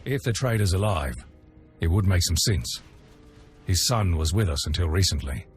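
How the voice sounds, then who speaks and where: An actor playing a proud, stern warrior camp commander in a video game, formal and curt. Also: male voice, sits low, lively intonation, moderate pace, faint music behind. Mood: matter-of-fact.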